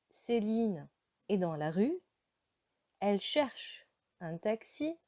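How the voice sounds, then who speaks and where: Slow, mellow teacher. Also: female voice, medium pitch. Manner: slow, careful teaching delivery with frequent hesitations. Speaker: French teacher. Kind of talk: slow beginner language lesson.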